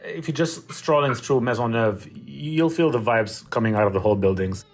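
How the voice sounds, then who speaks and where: A man's voice: medium pitch, normal speed, faint music behind. A man from Syria, a native Arabic speaker, recalling his student days casually in an interview. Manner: casual, with frequent "like" and "you know". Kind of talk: interview recollection.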